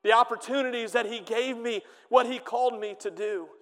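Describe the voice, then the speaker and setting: High-voiced fervent male preacher, hall reverb. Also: fairly even intonation, brisk. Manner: fervent preaching, swelling and dropping in volume; reads scripture in old Bible wording. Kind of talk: sermon.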